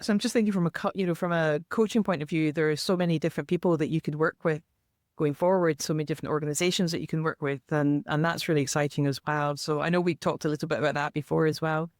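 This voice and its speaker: A woman with a fairly low voice for her sex hosts a podcast, drawing her guest out with encouraging questions and quick affirmations. The sound is close and dry. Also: steady tone, dry recording. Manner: encouraging, lots of short affirmations. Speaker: podcast host, menopause coach. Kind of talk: interviewing.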